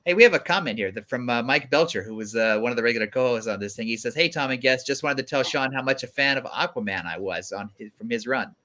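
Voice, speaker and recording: A man's voice: mid-range voice, fast, few pauses, bright, forceful tone. A man hosting a casual livestream panel conversation among several guests. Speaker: guest host of a livestream show.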